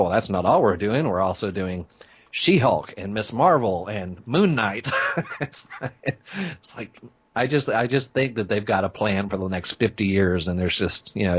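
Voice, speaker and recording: A man's voice: lowish voice, slightly rough. A man speaking as an interview guest in casual conversation, easygoing and agreeable. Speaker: interview guest, podcaster and writer.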